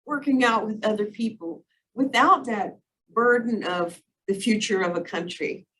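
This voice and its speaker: A woman's voice: fairly high pitch, lively intonation, measured pace, fairly bright tone. A woman, an instructor on a panel, posing a long, earnest question. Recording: distant mic with faint room noise.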